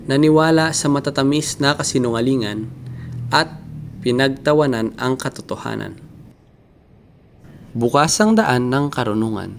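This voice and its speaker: Guy acting as grave old philosopher, theatrical monologue, slow deliberate speechy delivery, mid pitch, some background noise. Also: darkish tone, natural voice. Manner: oratorical, deliberate, rhetorical questioning. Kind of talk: theatrical dramatic monologue in Filipino.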